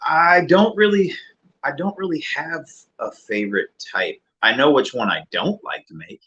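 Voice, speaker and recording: A man, a music producer and rapper, talking casually and reflectively as an interview guest in a deeper mid-range voice. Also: normal speed, highs cut off. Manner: relaxed, reflective, many fillers.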